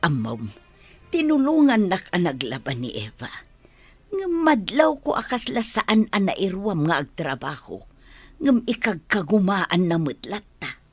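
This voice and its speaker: An actress playing a kindly, concerned middle-aged mother with a husky voice in an Ilocano radio drama. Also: on the low side, sing-song, measured pace, no room sound, soft background music, full character voice. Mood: gentle, approving.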